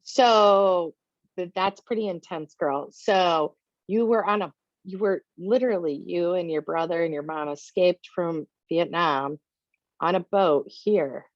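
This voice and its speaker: A woman hosting a podcast who chats casually and reacts with loud exclamations. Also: on the low side, measured pace, dry recording, lo-fi sound. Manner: chatty and exclamatory. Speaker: podcast host. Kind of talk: casual interview.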